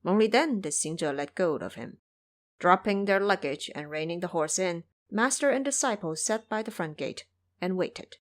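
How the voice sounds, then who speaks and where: Animated woman narrating a story. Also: on the low side, moderate pace.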